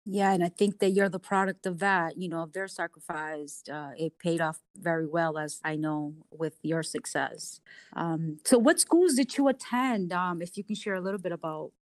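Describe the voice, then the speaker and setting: A woman's voice: fairly low pitch, normal speed, dry recording. A woman conducting a conversational interview, asking questions and affirming what she hears. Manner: affirming, reflects back on answers.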